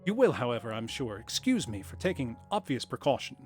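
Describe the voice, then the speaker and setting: A small, fussy office clerk gushes in formal phrases, as a man reads him. The voice is mid-pitched. Faint music runs behind. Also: big pitch swings, a little fast, natural voice. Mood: effusive.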